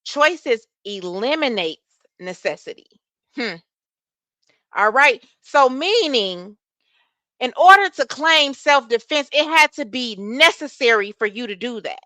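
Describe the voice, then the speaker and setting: A woman hosting a casual livestream chat, reading and answering viewer comments. She has a soft voice and speech, and she talks in an animated way, cursing freely. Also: high-pitched, slow, no room sound. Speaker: livestream host.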